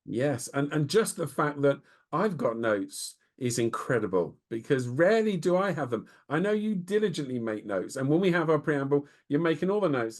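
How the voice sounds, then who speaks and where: A man co-hosting a business podcast in relaxed chat, thinking aloud as he speaks. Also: medium pitch. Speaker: podcast co-host.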